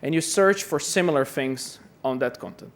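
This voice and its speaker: Man from Brazil, conference speaker, chatty and self-deprecating, slightly high voice. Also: moderate pace. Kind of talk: conference talk. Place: conference hall.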